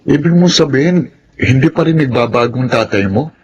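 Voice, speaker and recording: Male actor as sympathetic uncle, middling pitch, Filipino accent, radio drama, faint noise. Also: animated, moderate pace, darkish tone, muffled recording, lightly acted. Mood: concerned.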